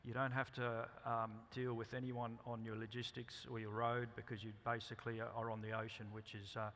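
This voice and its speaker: An Australian man giving a company presentation at a conference, speaking haltingly with many ums and restarts. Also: medium pitch, completely flat delivery, a bit bright, slight background noise. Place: conference hall. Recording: reverberant hall.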